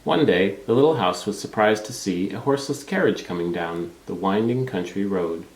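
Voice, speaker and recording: A man's voice: fairly low pitch, lively intonation, moderate pace. A man reading a children's storybook aloud as narrator. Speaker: storybook reader. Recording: roomy, echoing room sound.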